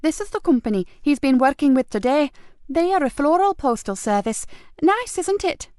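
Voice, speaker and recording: A woman's voice: high-pitched, expressive, normal speed, no echo, clean studio sound, pitched above the performer's own voice. A woman voicing a polite, protective middle-aged wife who speaks primly and courteously. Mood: polite, eager. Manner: prim and courteous, rising bright tone.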